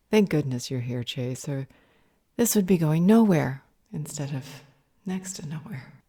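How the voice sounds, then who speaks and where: A woman acting a composed, cryptic vampire healer in an audio roleplay drama, speaking in a measured, polite and dryly sarcastic way. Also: deep voice, lively intonation, dark tone, husky, no echo, clean studio sound, heavily acted. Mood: evasive, dry.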